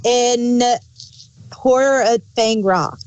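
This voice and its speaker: A woman's voice: on the high side, extremely slow, darkish tone, muffled recording. A woman co-hosting a review podcast in a casual, conversational style. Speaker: podcast co-host.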